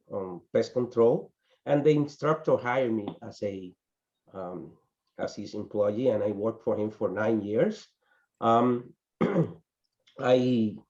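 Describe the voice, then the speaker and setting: Slow, halting man. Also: mid-range voice, a little mellow, lo-fi sound. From Dominican Republic. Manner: halting, frequent restarts and pauses. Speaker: business owner presenting his company. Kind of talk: networking group self-introduction. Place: small indoor office in front of a company banner. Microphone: video call webcam.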